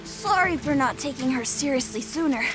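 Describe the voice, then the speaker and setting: A breezy storm god in an audio drama voiced by a woman, high in pitch. The delivery is smug, casual and lightly taunting. Music plays clearly behind. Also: expressive, moderate pace. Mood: smug, offhand. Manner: casual, offhand.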